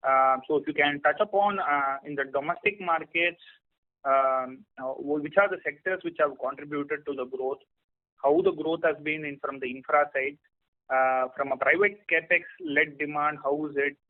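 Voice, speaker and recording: A man's voice: fairly high pitch, moderate pace. A man calling in as an analyst, politely and hesitantly asking questions on an earnings call. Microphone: telephone handset or line. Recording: phone-like call line.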